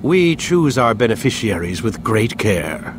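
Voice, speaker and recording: A man's voice: fairly low pitch, sing-song, a little slow. A man playing a smug, condescending older scientist in a video game, lecturing articulately. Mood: smug, secretive. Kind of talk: video game cutscene acting. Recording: noisy game scene with ambient hum.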